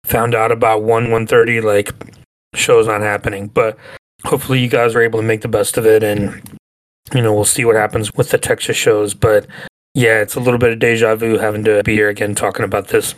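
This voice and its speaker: A man with a low voice talks casually as a podcast co-host. His commentary on music is loose and conversational. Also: flat delivery, brisk.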